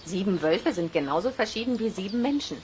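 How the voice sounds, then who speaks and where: A woman acting as a friendly, confident wolf keeper in a children's radio play. Her voice is mid-pitched and she talks briskly and plainly, with clear outdoor nature noise and birds around her. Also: lively intonation, close to an everyday voice. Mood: assured explaining. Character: friendly, confident woman who looks after wolves. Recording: outdoor ambience noise behind voice. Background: outdoor nature sounds, birds, animals.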